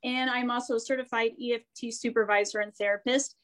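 A woman's voice: fairly high pitch, fairly even intonation, fast talker, fairly bright tone. Upbeat American woman hosting a chat show. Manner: upbeat, chatty, laughs easily. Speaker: host and therapist. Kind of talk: enthusiastic interview introduction.

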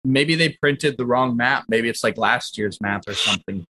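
A man's voice: mid-range voice, normal speed, pushed and bright. A man playing a tabletop roleplaying game, bantering casually in character.

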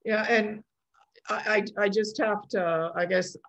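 A woman's voice: mid-range voice, fairly even intonation, unhurried, creaky, highs cut off. A middle-aged woman from Poland hosting a casual podcast chat over a video call, chatty, laughing often and restarting her sentences. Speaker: podcast host.

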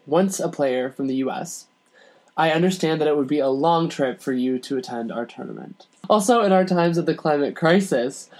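A man's voice: fairly high pitch, darkish tone. Man casually reading mail on a podcast. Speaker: podcast guest. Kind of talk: casual chat and reading a letter aloud.

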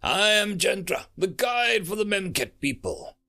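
Man voicing a high-pitched, haughty female alien spirit. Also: sing-song, measured pace, gravelly, pushed and bright, soft background music, heavily acted. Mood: imperious. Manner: declamatory, indignant, formal. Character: haughty female alien spirit guide, chimpanzee-lizard shade, imperious.

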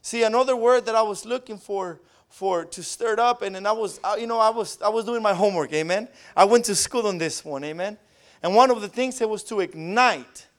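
A man with a Spanish accent preaching a sermon, tacking an amen onto nearly every phrase and rising into loud fervent bursts. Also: sits high, brisk. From Southern California. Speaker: pastor.

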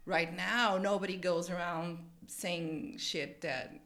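A woman's voice: on the low side, steady tone, takes it slowly, a bit bright. Thirties woman, native Portuguese speaker, giggly casual co-host. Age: 30s. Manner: giggly and chatty. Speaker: podcast co-host. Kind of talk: casual chat. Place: workplace.

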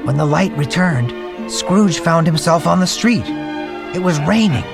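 A man's voice: fairly high pitch, expressive, a little slow, vocal fry. A man voicing an earnest storyteller creature, proud of his art, narrating a radio play dramatically over music. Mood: dramatic, hushed. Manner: theatrical, dramatic, patient narrator. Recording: music under the voice.

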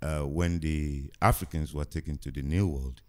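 A man in his sixties from Nigeria, a guest musician talking slowly and reflectively with many pauses and fillers in a casual podcast interview. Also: very deep voice, fairly even intonation. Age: 60s.

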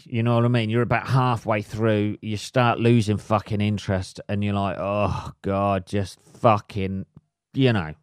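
A man, a little hoarse, ranting comically and swearing constantly in a rambling solo podcast monologue. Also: fairly low pitch, darkish tone, dry recording, studio quality. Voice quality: heavy swearing, rambling with many fillers. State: slightly hoarse. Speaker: podcast host. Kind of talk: solo comedic rant.

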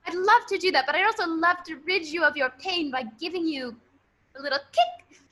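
Rambunctious lady detective, played by a woman: very high, bright voice, eager and insistent. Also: expressive, fairly quick, full character voice. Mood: earnest, eager. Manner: eager, insistent, over-earnest. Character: rambunctious earnest woman amateur detective obsessed with kicking. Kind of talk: improvised radio-play parody.

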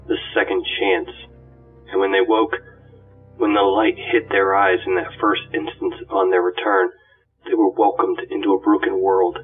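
A man plays an ancient ghost, full of awe, speaking very slowly in a low, creaky voice. The delivery is a reverent, oratorical monologue. It sounds distant and phone-like. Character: awed, ancient ghost companion reflecting proudly on humanity. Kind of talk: dramatic scripted monologue. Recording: distant, phone-like audio under music. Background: music.

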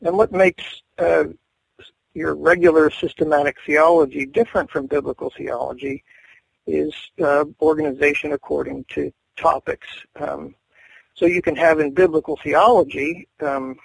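A middle-aged male seminary professor answering interview questions in a measured, thoughtful way, pausing often with fillers. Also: a little high, fairly even intonation, creaky, breathy edge, dry recording, rough recording.

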